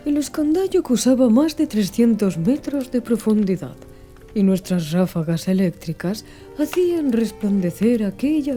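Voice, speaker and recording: A woman narrating a literary audiobook in a measured, expressive storytelling manner. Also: mid-range voice. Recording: music behind the voice. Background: background music.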